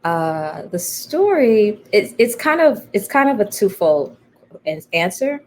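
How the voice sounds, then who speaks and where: A woman playwright speaking as an interview guest, telling her story in a candid, thoughtful, halting way. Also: medium pitch, sing-song, darkish tone, a little hiss or hum. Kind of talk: reflective conversational storytelling.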